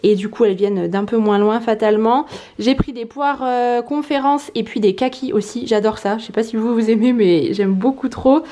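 Higher-voiced woman vlogging casually. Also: steady tone, moderate pace, mellow. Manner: chatty, conversational. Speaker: lifestyle and cooking vlogger. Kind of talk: casual vlog narration, talking viewers through food. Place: home kitchen, indoors.

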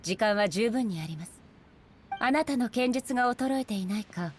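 An actress voicing a stern, solemn female warrior ruler in a Japanese video game, speaking in a formal, measured manner. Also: fairly high pitch, animated, slight background noise, lightly acted. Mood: solemn, commanding. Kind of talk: Japanese game character voice acting.

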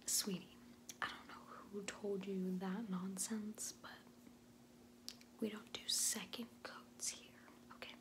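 A woman's voice: mid-range voice, level tone, lightly acted. A woman in her twenties playing a sassy, condescending makeup artist in a whispered ASMR roleplay. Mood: dismissive, sassy. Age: 20s. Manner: sassy, condescending, hesitant valley-girl phrasing with drawn-out words. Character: sassy, condescending young female makeup artist, dismissive and defensive. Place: small indoor room at home, in front of a door.

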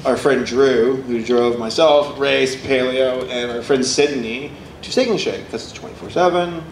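A man's voice: medium pitch, a little slow. A young man hosting a convention panel, telling a playful story into a table mic. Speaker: podcast host. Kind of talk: casual panel chat. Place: large convention panel room. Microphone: table mic from a far camera. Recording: echoey room with background noise.

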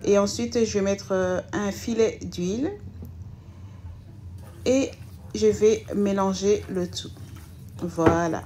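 A woman's voice: medium pitch, fairly even intonation, takes it slowly, pauses often, dark tone, a little hiss or hum. A woman, hosting a home cooking video as she talks viewers through a recipe in a chatty, hesitant way with many fillers. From Belgium. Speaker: cooking channel host. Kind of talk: casual cooking voice-over.

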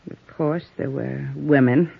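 Guarded, coy American widow, muffled sound. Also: female voice, rumbling low, steady tone, measured pace, gravelly, breathy edge, heavily acted. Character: strained, seductive widow under suspicion. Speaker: radio actress. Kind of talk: old-time radio drama acting. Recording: muffled old radio broadcast recording.

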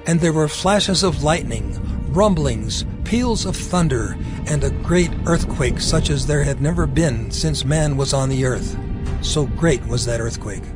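Casting: male scripture narrator, solemn, somewhat high-pitched, faint music bed. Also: unhurried.

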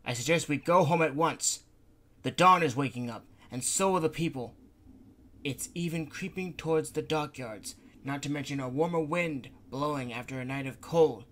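A man's voice: fairly high pitch, normal speed, a bit bright, a little hiss or hum, natural voice. A man voicing Gonta, an anxious man who grumbles and complains. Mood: worried. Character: anxious grumbling male worrier.

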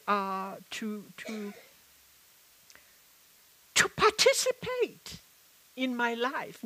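Older South African woman, slow and bright. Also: on the high side, poor audio. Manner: reflective, halting with many restarts. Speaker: author and activist. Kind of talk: public conversation on stage. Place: stage at a live event. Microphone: headset mic.

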